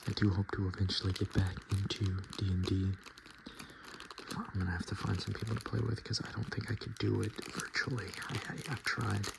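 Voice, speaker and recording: A man softly murmuring and whispering in a casual, rambling ASMR style as he hosts his video. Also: on the low side, flat delivery, fairly quick, vocal fry, heavy background noise. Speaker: ASMR video creator and host.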